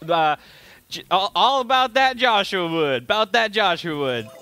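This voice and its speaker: Bright, lively young man goofing around. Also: high-pitched, a little slow, no room sound, faint music behind. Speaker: gaming show co-host. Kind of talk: casual joking chat over video game play.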